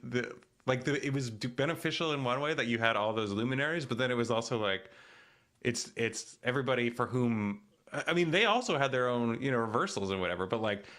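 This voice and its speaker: Bright-voiced man, casual interviewer. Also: medium pitch, lively intonation, fairly quick. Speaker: interviewer, co-host.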